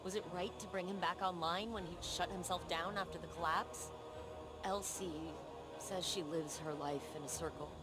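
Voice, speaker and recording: An actress playing an engineer in a video game, reflective and full of self-doubt. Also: female voice, lowish voice, fairly even intonation, normal speed, lightly acted. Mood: troubled. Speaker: engineer who rebuilt the AI. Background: loud game music.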